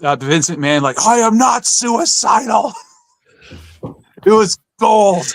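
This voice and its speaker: A man's voice: high-pitched, expressive, slow, gravelly, slight background noise. A man co-hosting a podcast in casual chat, with an informal, wry way of talking. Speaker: podcast co-host.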